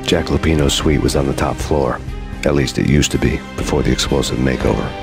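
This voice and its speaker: A hardboiled American cop, extremely low in pitch, narrates his noir game story. He is terse, brooding and world-weary, with a deadpan delivery. Loud music plays under him. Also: brisk, creaky. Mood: brooding, wry.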